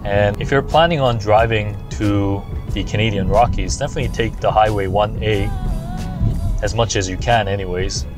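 A man's voice: lowish voice, fairly even intonation, normal speed. A man narrating a travel vlog as a casual, laid-back voice-over. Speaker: vlog host narrating a road trip. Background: music.

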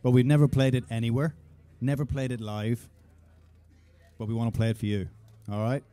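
A man's voice: medium pitch, little pitch movement, a little fast, dark tone, no room sound. A man in his thirties, a band frontman, joking with the audience between songs on a small club stage, speaking into a stand mic. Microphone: vocal mic on a stand.

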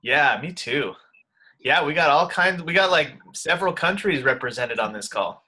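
A cheerful man in his thirties welcomes people to a group call from his home office. His voice sits a little high and rings bright and forceful. He talks into a desk webcam. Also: animated, moderate pace, breathy edge. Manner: upbeat, welcoming. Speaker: host. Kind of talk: casual group video call.

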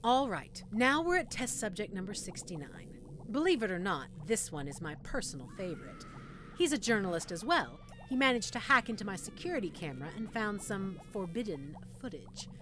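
A woman's voice: mid-range voice, sing-song, normal speed, close to an everyday voice. Woman doing a mad scientist lady for an audio rp, sickly sweet and sadistic, voice swoops around, noisy ambience behind. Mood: smugly amused. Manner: sweetly condescending, teasing, punctuated by cackling. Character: gleeful, sadistic female mad scientist toying with her captive. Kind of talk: audio roleplay acting addressed to the listener.

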